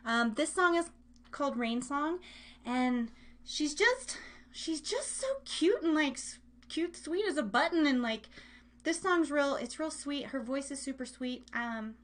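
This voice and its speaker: A woman's voice: sits high, animated, a little slow, fairly bright tone. A woman hosting a live online music show in a casual, chatty, gushing way. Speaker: radio show host.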